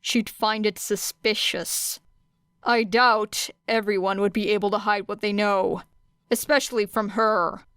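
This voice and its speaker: A woman's voice: mid-range voice, fairly even intonation, measured pace, smooth, no echo, clean studio sound, natural voice. A woman voicing a weary, guarded male teacher who speaks tersely in an audiobook story. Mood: dark, wary. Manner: muttering, terse, dark.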